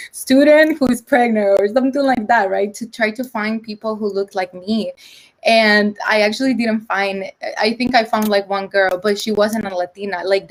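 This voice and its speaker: Young woman on a video call, lots of likes and ums, chatty. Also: mid-range voice. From El Salvador. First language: Spanish. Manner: chatty, many fillers like "like" and "um". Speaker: conference presenter. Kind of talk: casual online presentation.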